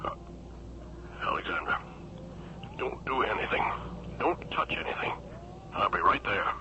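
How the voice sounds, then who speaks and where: An actor playing a concerned gentleman in a radio drama, with a hesitant, hushed way of speaking. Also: fairly low pitch, sing-song, a little slow. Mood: concerned, shocked. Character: concerned gentleman taking a troubled caller's confession. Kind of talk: 1970s radio drama acting. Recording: noisy, dull old radio recording.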